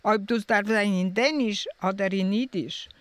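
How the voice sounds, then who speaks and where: A man's voice: high-pitched, normal speed, fairly bright tone, a little hiss or hum. A man in casual conversation who speaks hesitantly, with many fillers as he searches for his words.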